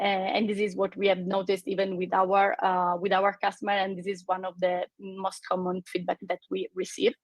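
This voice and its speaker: A woman's voice: mid-range voice, no echo. A woman from Italy with an Italian accent, presenting her company in a webinar over a video call, with many fillers and restarts. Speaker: company representative on a webinar panel.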